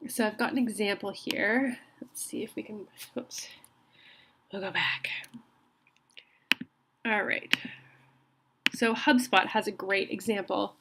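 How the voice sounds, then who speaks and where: A woman's voice: mid-range voice, steady tone, slow, long pauses, noisy background. A woman instructor giving a recorded online college lecture while she has a cold, speaking in a friendly, conversational way with frequent ums and restarts.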